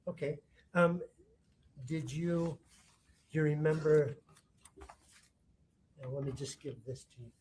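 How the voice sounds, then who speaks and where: Man, courtroom prosecutor, warm dark tone, methodical but halting questioning. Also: a little high, fairly even intonation, slight background noise, rough recording. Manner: halting, rephrases questions mid-sentence.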